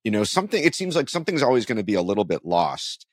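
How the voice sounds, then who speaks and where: A man's voice: lowish voice, very animated, rapid-fire, no echo, clean studio sound. A man hosting an interview podcast in a conversational way, thinking aloud and rephrasing his questions as he goes.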